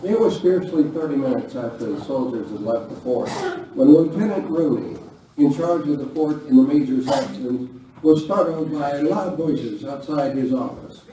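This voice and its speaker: A man's voice: mid-range voice, normal speed, natural voice. A man reading scripted narration for an old-time radio drama. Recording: very echoey distant live recording.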